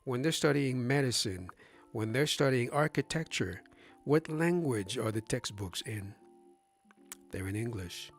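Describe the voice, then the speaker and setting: A man's voice: mid-range voice, animated, measured pace, soft background music. Emphatic man asking rhetorical questions. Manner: emphatic and rhetorical, asks questions, many restarts. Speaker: livestream host. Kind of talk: casual discussion on a live stream.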